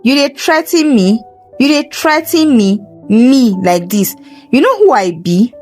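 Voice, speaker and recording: Woman acting a blustering Nigerian landlady, high-pitched. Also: big pitch swings, a little slow, faint music behind. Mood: defiant, shaken. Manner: loud, indignant Pidgin exclamations. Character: wicked, blustering middle-class landlady in a folktale.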